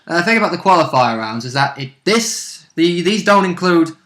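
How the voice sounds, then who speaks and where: Bright, higher-pitched man chipping in casually. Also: animated, normal speed. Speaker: guest co-commentator and player. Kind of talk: casual chat about a role-play game.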